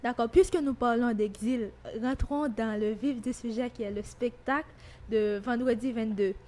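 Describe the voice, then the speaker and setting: A woman's voice: on the high side, fairly even intonation, normal speed, a little mellow. A woman hosting a radio interview, putting questions to her guests. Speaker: radio host.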